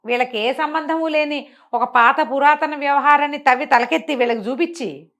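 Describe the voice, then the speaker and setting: High-voiced woman explaining moral lessons. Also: normal speed, a bit bright. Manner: didactic, emphatic, asks rhetorical questions. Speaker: storyteller explaining moral teachings. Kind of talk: conversational moral discourse.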